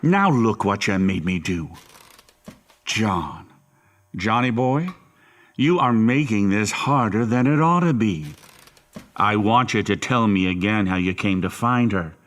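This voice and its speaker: An actor playing a menacing, condescending police interrogator in an audio horror drama, with a sardonic, deliberate and bullying manner. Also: male voice, on the low side, fairly bright tone. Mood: threatening.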